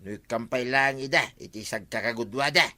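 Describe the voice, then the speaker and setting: An actor playing a nosy, teasing village husband in an Ilocano radio comedy drama, with an Ilocano accent and emphatic delivery. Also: male voice, medium pitch, fairly quick, sharp tone, no room sound, lightly acted. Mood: goading.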